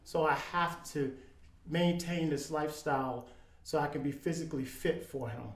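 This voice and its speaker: A man in his fifties speaking in casual chat as a podcast guest, earnest and halting, with many ums and restarts. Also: a little high, echoey room. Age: 50s.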